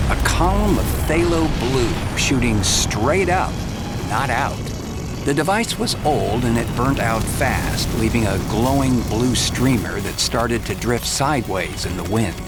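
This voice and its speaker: An actor playing a hard-boiled investigator who narrates a dystopian audio drama in clipped noir style. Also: male voice, medium pitch, animated, takes it slowly, loud music behind the voice. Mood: grave.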